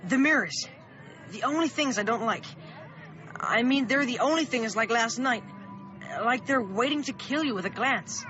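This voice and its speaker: A muffled recording under loud music carries a cautious young boy in a radio play. The voice sits high, earnest and hesitant, like a small-town kid who would rather stay out of trouble. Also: expressive, normal speed. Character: Will, anxious cautious thirteen-year-old small-town boy. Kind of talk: radio drama acting.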